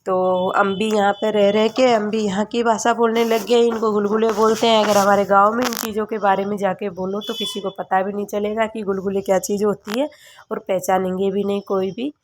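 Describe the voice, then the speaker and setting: A woman in her thirties from Rajasthan, narrating her family vlog in a chatty, halting way, her voice hoarse from a cold. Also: mid-range voice, flat delivery. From Rajasthan, India. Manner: chatty, halting, many filler sounds. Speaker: family vlogger.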